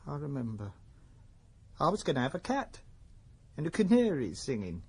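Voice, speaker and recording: An actor playing an irritable, discontented English shopkeeper of nearly forty in a radio drama, blustering and aggrieved. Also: male voice, a little high, wildly swooping pitch, normal speed, a little mellow, husky, no echo, full character voice. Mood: gloomy, wistful. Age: 30s.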